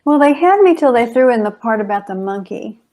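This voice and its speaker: A woman's voice: on the high side, animated, a little fast, darkish tone. A woman who is a guest on a podcast, chatting casually and playfully riffing on jokes. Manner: playful and joking, riffs on bits. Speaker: guest, psychic medium. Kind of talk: casual chat.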